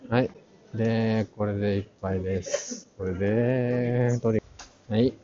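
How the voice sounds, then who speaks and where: A man's voice: on the low side, fairly even intonation, measured pace, background noise. A man narrating a casual walk-through, muttering short fragments half to himself through a face mask. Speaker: vlog host touring an art fair. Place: large crowded indoor exhibition hall. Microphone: handheld camera at arm's length. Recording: dull sound in a busy hall. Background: crowd murmur.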